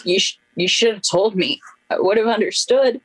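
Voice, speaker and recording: A woman's voice: medium pitch, moderate pace, noisy background, close to an everyday voice. A woman acting in a radio play as a grieving, regretful young friend.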